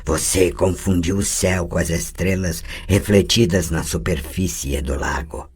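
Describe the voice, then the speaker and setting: A cold, metallic, inhuman voice drips with cruel scorn, as a man reading aloud puts it on. It sits low and slow, each word heavy with threat. A spoken storybook villain. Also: steady tone, creaky, husky, exaggerated voice. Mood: menacing. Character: cold metallic inhuman presence, mocking and menacing. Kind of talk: audiobook character voice.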